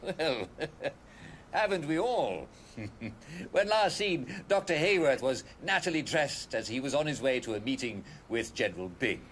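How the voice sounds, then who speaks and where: An actor playing a chirpy British broadcast host in a retro announcer style, with forced cheer, chuckles and theatrical hesitations. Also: male voice, mid-range voice, big pitch swings, unhurried, fairly bright tone, slight background noise. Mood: giddy, cheerful. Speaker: broadcast presenter.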